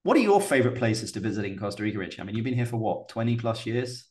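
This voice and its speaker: A chatty male host of an investment podcast. He talks quickly in a lowish voice and fills his questions with "you know" and "like". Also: animated, darkish tone. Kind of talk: casual interview chat.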